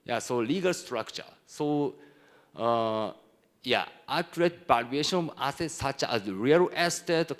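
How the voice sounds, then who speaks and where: A man from Japan with a Japanese accent gives a conference presentation in halting, non-native English with broken phrasing. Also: mid-range voice. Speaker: conference speaker, hedge fund executive.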